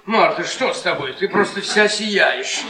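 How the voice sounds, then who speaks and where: Sardonic, high-voiced husband, roomy sound. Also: male voice, animated, unhurried, a loud crowd. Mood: mocking cheer. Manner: needling, sardonic, repeats words mockingly. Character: beaten-down, mocking middle-aged history professor husband. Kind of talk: Soviet-era Russian stage-style radio play acting. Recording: roomy distant stage-like 1980s radio play.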